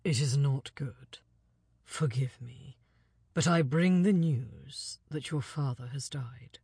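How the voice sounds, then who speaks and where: A woman's voice: extremely low pitch, animated, takes it slowly, breathy edge, no echo, studio quality, voice pushed down, exaggerated voice. Woman doing a grave older male envoy. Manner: grave, hushed, formal. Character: lean older male French envoy, sorrowful and courteous.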